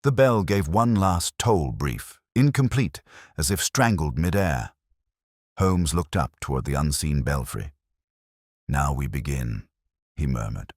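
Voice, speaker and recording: A man reading a scripted first-person mystery story as an audiobook narrator, in a measured, solemn, literary manner. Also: rumbling low, lively intonation, no echo, studio quality.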